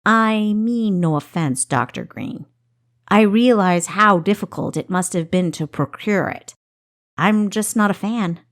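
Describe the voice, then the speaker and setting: A woman's voice: mid-range voice, expressive, a little slow. A woman voicing a guarded young female dinner guest in a storybook, speaking with careful, formal politeness. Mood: apologetic.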